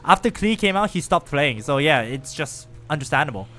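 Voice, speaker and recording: A man chatting casually with viewers and reacting out loud while he plays a game on a live stream. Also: fairly high pitch, a little fast, faint music behind. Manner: chatty, animated, lively reactions while playing.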